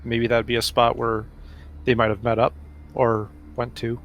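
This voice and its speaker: A man playing a tabletop roleplaying game, talking casually and a little hesitantly with pauses as he thinks. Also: medium pitch, completely flat delivery.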